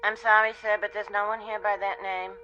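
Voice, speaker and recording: A woman's voice: medium pitch, level tone, fairly quick, faint music behind, lightly acted. An actress playing a courteous, unflappable, businesslike female radio station receptionist in a radio drama, heard over a phone line. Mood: polite, puzzled. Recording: dull phone-line sound.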